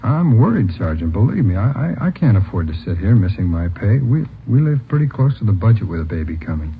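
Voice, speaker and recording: A man's voice: on the low side, big pitch swings, a little fast, creaky. A man acting an earnest, worried young rookie policeman in an old radio drama on a tinny, hissy recording. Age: young adult. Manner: earnest, pleading, hesitant.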